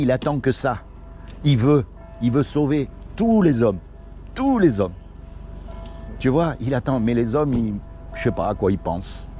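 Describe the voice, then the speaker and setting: Casual street preacher guy from morocco, warm low-key voice, keeps pleading earnestly, traffic going by. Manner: earnest and pleading, uses many everyday examples. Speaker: street evangelist. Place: outdoors on a city street.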